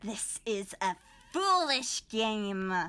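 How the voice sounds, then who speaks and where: A woman playing a proud, indignant fairy lord in an audio drama, haughty and drawn-out in delivery. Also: medium pitch, sing-song, bright, forceful tone, smooth, full character voice. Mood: scornful.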